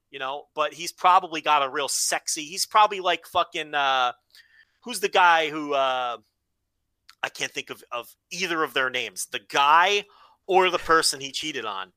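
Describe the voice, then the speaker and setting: Male comedy podcast co-host: sharp, slightly high voice, swears freely, thinks aloud in casual rambles, close dry sound. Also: animated, normal speed, no echo, poor audio. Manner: rambling, joking, profane. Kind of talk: casual comedic movie chat.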